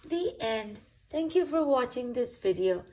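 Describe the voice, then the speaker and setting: A woman hosting and narrating a children's storybook read-aloud in an expressive, deliberate way. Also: fairly high pitch, a little hiss or hum. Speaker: children's book reader and channel host.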